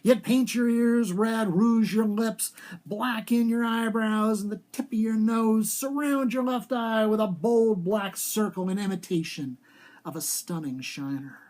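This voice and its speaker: A man's voice: high-pitched, measured pace, a little mellow. A man speaking as an author at a live reading of short fiction, with an emphatic storytelling delivery. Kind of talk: live dramatic literary reading.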